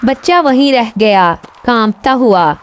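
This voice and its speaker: A woman's voice: on the high side, expressive, slow pace. A woman storyteller reading a scripted children's tale as narrator.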